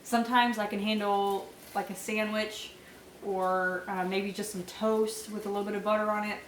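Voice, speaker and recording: A woman's voice: mid-range voice, level tone, moderate pace, echoey room, far from the mic, slight background noise, poor audio. Congested young woman chatting casually. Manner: chatty, many fillers and small laughs. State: congested, nasal, sore throat. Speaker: vlogger. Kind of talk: casual pregnancy update vlog.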